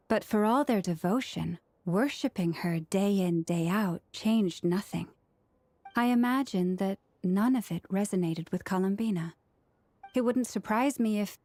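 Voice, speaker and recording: A woman's voice: mid-range voice, a little mellow, no echo, close to an everyday voice. A woman playing a composed, earnest, scholarly priestess in a video game, speaking formally and precisely. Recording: music behind the voice. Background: background music.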